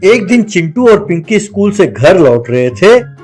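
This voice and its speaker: A man's voice: high voice, very animated, unhurried, a little mellow, soft background music. A man narrating a scripted children's cartoon story as the storyteller.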